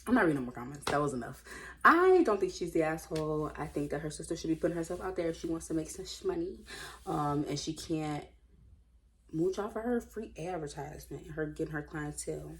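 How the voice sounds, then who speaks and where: Low-voiced woman, casual and slangy. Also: lively intonation, a little fast, low-quality recording. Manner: informal, slangy, opinionated, musing aloud. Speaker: host reading and commenting on online stories. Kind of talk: casual reading aloud with chatty commentary.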